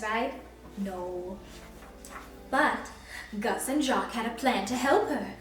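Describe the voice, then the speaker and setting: A woman reading a storybook expressively to young children as a princess character, sweet and encouraging, inviting them to join in. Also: fairly high pitch. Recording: very echoey, distant mic, faint music.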